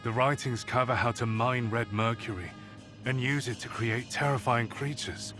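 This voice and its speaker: A grave, curious gentleman from a video game, voiced by a male actor. He has an average-pitched voice and reasons aloud in measured, formal phrases. Music runs clearly behind him. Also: close to an everyday voice. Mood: grave, intrigued. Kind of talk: video game cutscene acting.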